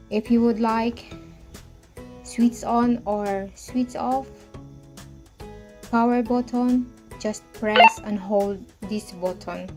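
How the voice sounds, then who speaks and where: A woman presenting a product demonstration for an online video in halting, non-native English phrasing. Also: medium pitch, darkish tone. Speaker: product reviewer and parent presenting to camera. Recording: music playing behind the voice.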